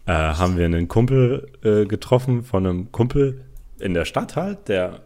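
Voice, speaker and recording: A man's voice: on the low side, very animated, moderate pace, warm tone. Young man ranting casually on a podcast. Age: 20s. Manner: colloquial, sweary, ranting, acts out quotes. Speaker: podcast co-host. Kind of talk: casual podcast banter and storytelling.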